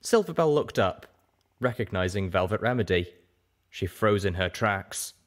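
A man's voice: lowish voice, animated, normal speed. A man narrating a scripted story as a dramatic audiobook reader.

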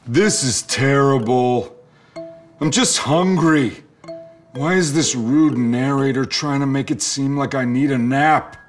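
An indignant alligator from a children's storybook, acted out by a man. He is fussy and exasperated, always protesting, with his pitch pushed well up and his words drawn out. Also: slow pace, faint music behind, close to an everyday voice. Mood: annoyed. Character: indignant, fussy male alligator fed up with the narrator. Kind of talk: storybook character voice.

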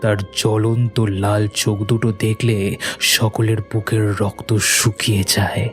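A man's voice: on the low side, level tone, takes it slowly. A man narrating a scripted horror cartoon story in a dramatic storytelling style. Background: faint music.

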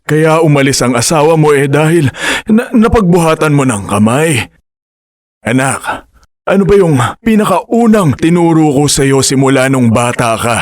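An actor playing a wise older fisherman who counsels his son in a radio drama, gently scolding and fatherly. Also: a little high, expressive, normal speed, dry recording, lightly acted. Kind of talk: broadcast radio drama acting.

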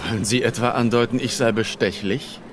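A man playing a gruff, stubborn police officer in a radio drama, curt and officious. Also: mid-range voice, fairly even intonation, a little fast, over music, lightly acted. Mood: officious, suspicious.

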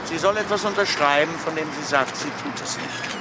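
An actor playing a polite, quietly probing police inspector in a crime drama, understated and questioning. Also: male voice, fairly high pitch, brisk, close to an everyday voice. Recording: noisy old film soundtrack.

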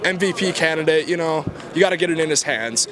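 A young man, a disappointed fan, giving glum, measured criticism in a street interview at night. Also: a little high, animated. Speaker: fan interviewed after a game. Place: city street at night outdoors. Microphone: handheld mic. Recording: crowd noise on a city street.

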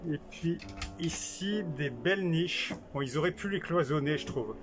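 A man talks his way through a small camper van at a trade show, filming on a handheld camera and thinking aloud with plenty of fillers. His voice sits a little high. Music plays clearly behind him. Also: animated, unhurried. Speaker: vlogger touring a camper van. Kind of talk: casual walkthrough commentary.

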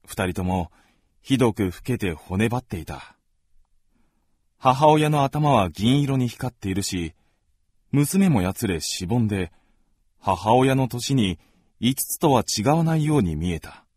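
A man playing a gentlemanly visitor who narrates his recollections in a literary radio drama. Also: medium pitch, animated, measured pace, slightly rough, no room sound. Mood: reflective.

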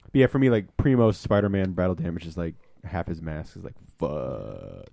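A man's voice: low-pitched, expressive, moderate pace, vocal fry, dark tone, no room sound. A man on a casual film commentary, rambling enthusiastically and swearing casually. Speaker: podcast co-host.

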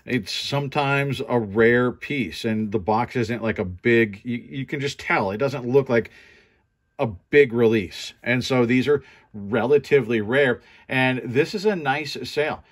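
A man's voice: medium pitch, unhurried. A man giving casual, conversational show-and-tell commentary as an online reseller going through his sales. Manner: informal, conversational.

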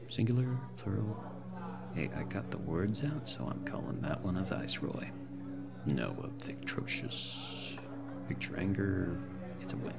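A man playing a drunk, haunted space salvager in an ASMR roleplay, slurred, halting and rambling in a hushed gravelly whisper. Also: low voice, full character voice. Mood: drunken rambling. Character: drunk, haunted male space salvager confessing over drinks. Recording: loud bar ambience music behind. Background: bar night ambience and music.

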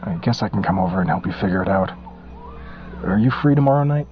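Muffled, low-voiced weary father played by a man. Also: lively intonation, fast talker, slight background noise. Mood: exasperated. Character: weary, skeptical estranged father. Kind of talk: naturalistic horror drama acting. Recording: dull band-limited phone-call sound.

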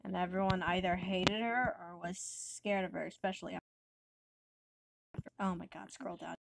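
A woman's voice: lowish voice, steady tone, a little slow, gravelly, noisy background. A woman casually reading out an amateur comic dub in a joking, sweary, informal way. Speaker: comic dub narrator and voice actor.

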